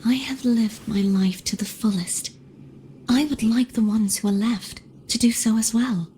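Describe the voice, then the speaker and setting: Game heroine, played by a woman, gentle and doomed: highish soft breathy voice, slow solemn delivery, resigned and wistful. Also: steady tone, a little hiss or hum, close to an everyday voice. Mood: sorrowful, at peace. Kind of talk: dramatic video game voice acting.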